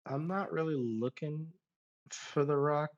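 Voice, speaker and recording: A man's voice: on the high side, measured pace. Dull-sounding man chatting opinions. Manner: rambling, qualifies his points. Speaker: podcast panelist. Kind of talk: casual opinionated chat. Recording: dull lo-fi mic.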